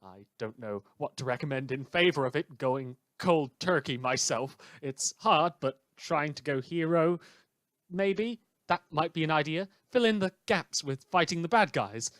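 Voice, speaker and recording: A man playing a rambling, conflicted late-night radio host in a post-apocalyptic audio drama, with a wry, halting, self-correcting manner. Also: fairly high pitch, expressive, a bit bright, no echo, clean studio sound. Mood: wistful, conflicted.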